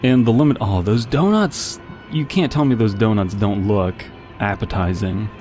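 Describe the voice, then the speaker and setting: A man with a fairly low voice talks through the game he is playing in a casual, rambling way. His commentary is wry and full of joking asides. Game music plays clearly behind him, along with gunfire. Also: normal speed, darkish tone. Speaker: video game player and commentator.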